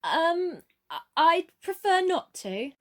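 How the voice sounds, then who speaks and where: Petulant, evasive young female passenger woman acting, radio play; very high, swooping voice. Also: sing-song, takes it slowly, fairly bright tone, heavily acted. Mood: defensive. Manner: defensive, petulant. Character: self-absorbed, evasive young social-media-obsessed woman.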